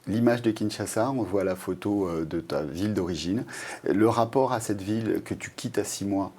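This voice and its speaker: A man's voice: fairly low pitch, moderate pace, darkish tone, breathy edge. A man hosting a TV interview, asking questions in a friendly, chatty way with many fillers. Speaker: TV host. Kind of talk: conversational interview.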